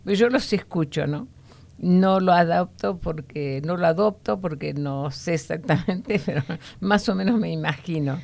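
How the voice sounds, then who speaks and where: Low, gravelly woman teaching the Bible. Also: darkish tone. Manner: explanatory, often ending points with a questioning "no?"; unhurried, with frequent hesitation fillers.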